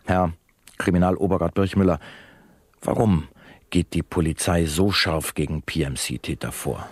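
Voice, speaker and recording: An actor playing an earnest male radio interviewer in a satirical drama, asking and explaining in a polite, measured manner. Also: low voice, breathy edge, dry recording. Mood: earnest, probing. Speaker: radio host.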